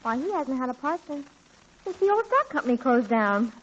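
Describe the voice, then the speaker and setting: A woman's voice: sits high, very animated, normal speed. An actress playing an eager teenage girl who is planning a party and is bubbly and persuasive. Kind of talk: 1940s radio acting. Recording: dull old radio broadcast.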